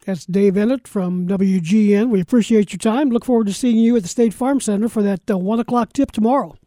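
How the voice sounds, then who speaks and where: A man's voice: high voice, fairly quick, mellow, no echo. A man hosting radio sports talk in a casual style, moving between chat and sponsor reads. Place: radio studio.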